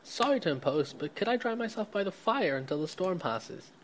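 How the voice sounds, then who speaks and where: A man's voice: sits high, very animated, brisk, slight background noise. A man playing a polite, cheerful middle-aged halfling in a fantasy audio drama, with an apologetic, chirpy manner.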